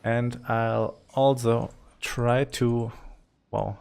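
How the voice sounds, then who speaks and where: A man's voice: medium pitch, steady tone, extremely slow, vocal fry, a little mellow, smooth. A man with a German accent, a native German speaker, giving unscripted video game commentary in a hesitant, thinking-aloud way. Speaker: gaming commentator.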